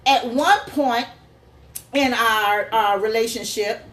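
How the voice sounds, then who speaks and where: A woman's voice: a little high, slow, a bit bright. A woman co-hosting a casual chat show with her husband, playful and laughing often. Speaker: co-host. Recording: echoey room with faint noise.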